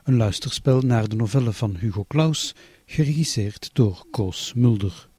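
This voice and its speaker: A man's voice: mid-range voice, animated, a little slow, darkish tone, breathy edge. A man reading a scripted introduction to a radio play as its announcer.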